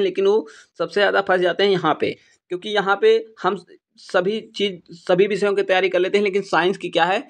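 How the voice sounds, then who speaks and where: A man teaching in an informal explainer style, repeating points for emphasis. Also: sits high. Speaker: exam-prep YouTube teacher. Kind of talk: informal explainer addressing students.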